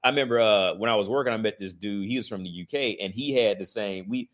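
A man's voice: fairly low pitch, quick pace. A man in his thirties, talking in a rambling, casual monologue, swearing often and restarting his sentences. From United States. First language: English.

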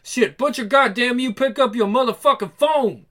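A man's voice: sits high, animated, normal speed, bright, forceful tone. A man voicing a furious, foul-mouthed, confrontational man in a comic book read-aloud. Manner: swearing, confrontational, slangy.

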